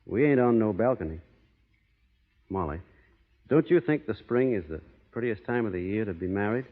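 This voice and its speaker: Low-voiced plainspoken cowboy, old radio. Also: male voice, animated, moderate pace, phone-quality sound. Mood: bold, courting. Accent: American Western. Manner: drawling folksy cowboy talk, understated. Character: plainspoken, stubborn cowboy with a strict code of honor. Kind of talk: 1930s-40s radio western acting. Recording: boxy old radio recording, hall reverb.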